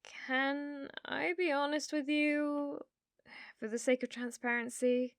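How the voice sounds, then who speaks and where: A young woman with a British accent acting a sweetly manipulative, teasing girlfriend in an intimate, soft-spoken ASMR roleplay. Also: high voice, steady tone, slow, no room sound, studio quality. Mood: teasing, provoking. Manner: coy, soft-spoken, teasing with feigned innocence.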